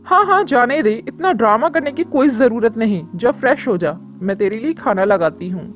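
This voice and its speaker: A woman voicing an indulgent, reassuring middle-aged mother in an animated story. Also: on the high side, moderate pace, close to an everyday voice. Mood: brisk, dismissive. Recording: dull lo-fi audio with music.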